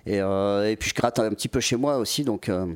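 A relaxed French man in his forties with a fairly low voice, talking through his memories in a loose, halting way. He keeps stopping to restart his sentences and often breaks into laughter. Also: clear, resonant tone. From Paris region, France. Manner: hesitant, many restarts and fillers, laughs often. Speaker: metal singer, guest. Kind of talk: casual interview chat.

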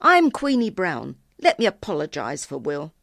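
A woman's voice: sits low, huge pitch swings, moderate pace, gravelly, fairly bright tone, no echo, clean studio sound, full character voice. A woman voicing a kindly, motherly farmer's wife in a storybook, comforting and welcoming. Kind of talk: storybook reading.

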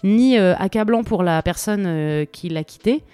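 A woman's voice: mid-range voice, measured pace, dark tone, clear, resonant tone, dry recording, studio quality. A woman on a podcast, enthusiastically talking through song lyrics with many hesitations. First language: French. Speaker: podcast co-host. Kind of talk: casual chat about song lyrics.

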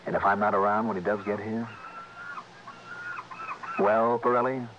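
An actor playing a tough, blunt, world-weary insurance investigator in a 1960s radio drama, clipped and commanding. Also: male voice, lowish voice, steady tone, moderate pace, natural voice. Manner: hard-boiled, commanding, clipped. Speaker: lead radio detective. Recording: dull, noisy vintage radio recording.

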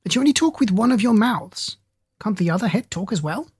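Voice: mid-range voice, animated, fairly quick, a little mellow, slightly rough, no room sound, clean studio sound, pitched above the performer's own voice. A narrator voicing Dab Dab, a fussy, bossy female duck who scolds and bustles. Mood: curious.

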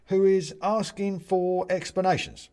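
A man's voice: high voice, steady tone, unhurried, a little mellow, pitched above the performer's own voice. A man voicing a deferential, homely little china figurine ghost in a storybook reading, respectful and earnest.

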